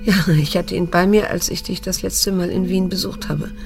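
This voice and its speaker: Weary, low-voiced middle-aged daughter. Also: female voice, steady tone, normal speed, vocal fry, soft background music. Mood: weary, restrained. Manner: restrained, terse, pained. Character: weary, burned-out daughter confronting her guilty old mother. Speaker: actor and narrator in a radio drama. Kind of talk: radio drama acting with inner monologue.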